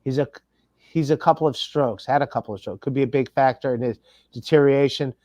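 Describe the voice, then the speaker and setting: A man hosts a podcast in loose, profane chat, rambling as he reads out viewer comments. His voice sits in a middle pitch. It is recorded close and dry, with no room sound. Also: fast talker, a little mellow, no room sound. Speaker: podcast host. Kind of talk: casual chat reading super chats aloud.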